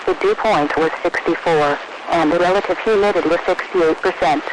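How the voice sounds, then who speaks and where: Clear static runs through this radio reception. An American man with a fairly high voice reads a weather summary from a script. He sounds formal and impersonal. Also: fairly quick.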